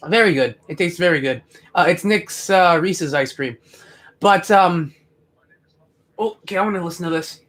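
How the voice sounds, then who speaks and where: Young male streamer, higher-pitched, loose rambling chat with restarts. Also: normal speed, long pauses, fairly bright tone. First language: English. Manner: rambling, chatty, many fillers and restarts. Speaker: live stream host. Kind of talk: casual live commentary chat.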